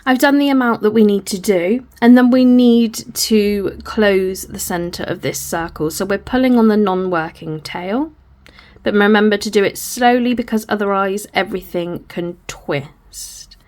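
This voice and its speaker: A woman with a croaky, hoarse voice teaches crochet slowly and patiently. She talks through each hand movement as she films, sounding apologetic and plain, at a middling pitch. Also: a little mellow. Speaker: crochet tutor. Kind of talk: step-by-step craft tutorial.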